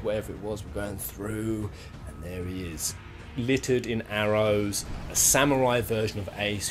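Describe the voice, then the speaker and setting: Animated man commentating over music. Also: medium pitch. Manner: rambling, emphatic, mildly sweary. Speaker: video host, game commentator. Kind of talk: casual opinionated video commentary. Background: instrumental music.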